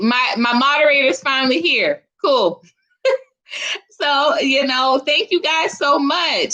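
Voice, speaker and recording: A woman in her forties with a bright, forward voice, talking to viewers of her live stream. She is chatty and informal, addressing the listeners directly in a loose, conversational way. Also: sits high. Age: 40s. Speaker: host of a live chat stream. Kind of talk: casual live chat with viewers.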